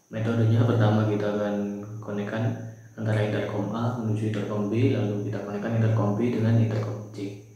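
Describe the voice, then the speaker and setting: A man's voice: lowish voice, dark tone. A young man presenting an informal step-by-step product tutorial in a small bare room. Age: young adult. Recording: very echoey, reverberant room.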